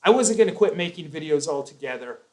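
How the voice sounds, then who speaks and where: A man's voice: a little high, expressive, fast, darkish tone. A man hosting a live chat, talking earnestly and at length, with frequent restarts. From United States. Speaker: YouTube host.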